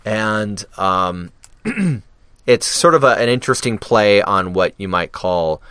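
Male podcast guest, very low and smooth, casual and rambling. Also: expressive, takes it slowly, bright, forceful tone, no room sound. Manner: rambling, many fillers and restarts. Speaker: podcast guest, radio DJ and actor. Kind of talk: casual interview chat.

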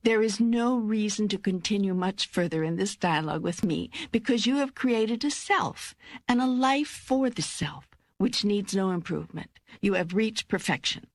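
An older woman voicing God as a calm, reassuring presence in an audiobook dialogue, gentle and measured. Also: medium pitch, no room sound, close to an everyday voice. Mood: measured, knowing.

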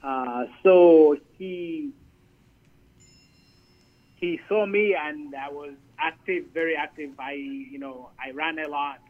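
Man, slightly high voice, halting, repeats words, tinny phone line. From Zambia. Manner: halting, repeats words while searching for them. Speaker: Air Force chaplain and priest, guest. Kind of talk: conversational radio interview.

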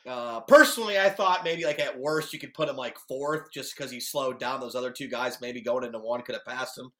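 An American man with a raspy voice, hosting a sports podcast and recapping action in a casual, chatty way. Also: mid-range voice, brisk, sharp tone. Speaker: podcast host.

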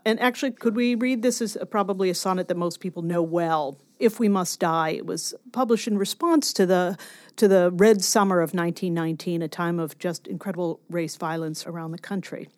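Academic lady explaining stuff in an interview, lowish voice, very dry recording, leans on key words. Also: fairly quick, no echo. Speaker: scholar, interview guest.

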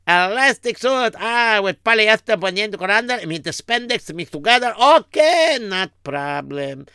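A man's voice: sits high, lively intonation, normal speed, bright, forceful tone, clean tone, dry recording, studio quality, voice pushed up, heavily acted. A man voicing an eager, obliging tailor who speaks broken English in a comic story.

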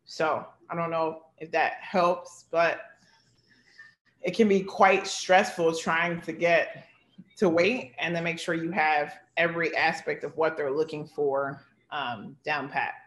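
A woman's voice: low voice, steady tone, slow pace, echoey room. A woman in her thirties, a basketball coach, giving earnest, heartfelt interview answers full of "like" and "you know". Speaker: basketball head coach, guest.

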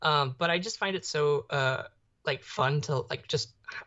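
A man's voice: on the high side, unhurried, bright, forceful tone. A young adult man co-hosting a podcast in a casual, rambling way. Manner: rambling, peppered with "like". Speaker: podcast co-host. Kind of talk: casual chat. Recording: slightly dull, muffled audio.

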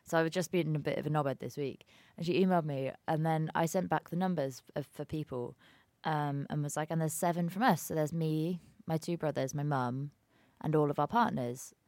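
A young woman co-hosting a podcast, chatty and melodramatic, telling stories quickly. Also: low voice, darkish tone, no room sound. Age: young adult. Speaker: podcast co-host. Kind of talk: casual chat.

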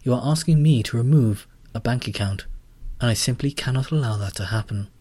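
A man's voice: medium pitch, mellow, no echo, natural voice. A man playing a pompous sentient bank computer. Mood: lofty. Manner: measured, lofty, pompous. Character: grandiose sentient bank computer, calm and self-important.

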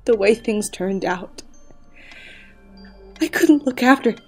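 An actress playing a grieving, fragile mother in an audio play, with halting, emotional delivery. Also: a little high, expressive, a little mellow, husky, soft background music, exaggerated voice. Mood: sad, loving. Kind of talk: dramatic audio play acting.

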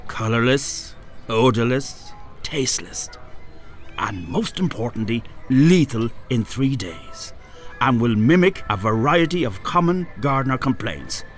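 A man plays a smug Irish kidnapper in a darkly comic radio play. He is pompous and mock-genteel, savouring his menace with big swoops of pitch. Music sits behind the voice. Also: animated, a little slow, lightly acted. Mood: gloating relish. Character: smug, menacing male kidnapper and handler of assassins.

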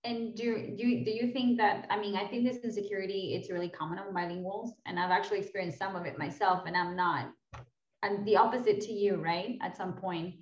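A woman's voice: medium pitch, fairly even intonation, brisk, roomy sound, lo-fi sound. A woman interviewer asking a thoughtful follow-up question, restarting a few times as she forms it. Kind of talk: conversational interview.

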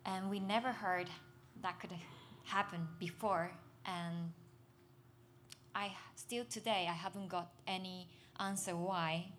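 A young adult Japanese woman giving a personal testimony in English on a festival stage, halting and searching for words, soft and earnest. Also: on the low side, steady tone, a little hiss or hum. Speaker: speaker at a literary festival.

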